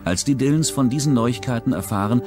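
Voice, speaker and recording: A man reading a scripted true-crime documentary narration in a measured, even way. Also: mid-range voice. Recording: music bed under the voice. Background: documentary music.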